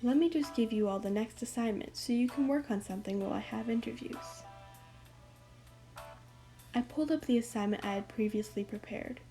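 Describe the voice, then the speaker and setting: Woman acting a measured, higher-voiced schoolteacher. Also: warm tone, faint music behind, lightly acted. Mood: instructive. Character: schoolteacher, woman, patient but frustrated, firm. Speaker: actor and narrator in a radio play. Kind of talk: first-person radio drama narration and dialogue.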